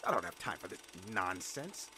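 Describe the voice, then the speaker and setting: A man's voice: low voice, sing-song, moderate pace, background noise. A man acting a curt, swooping, pompous official. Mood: exasperated. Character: dismissive, impatient senior government official. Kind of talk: scripted audio drama acting.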